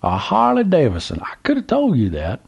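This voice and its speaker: A gleeful old Southern gentleman, put on by a storytelling man, whose drawl leaps high and swoops wildly. The voice is husky and a bit muffled, like a lo-fi recording. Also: wildly swooping pitch, brisk, heavily acted. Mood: excited. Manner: drawling, exclaiming, high and squeaky. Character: excited old Southern man, toothless, chatty.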